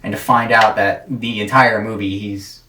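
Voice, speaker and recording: A man's voice: on the low side, level tone, moderate pace, far from the mic, a crowd in the background. An American man chatting casually and jokily as a talk show host. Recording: echoey room, off-mic, faint noise.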